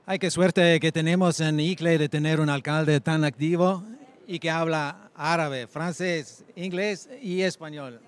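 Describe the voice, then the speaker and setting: A man's voice: fairly high pitch, fairly even intonation, a little slow. A man moderating a conference panel, giving short, upbeat introductions.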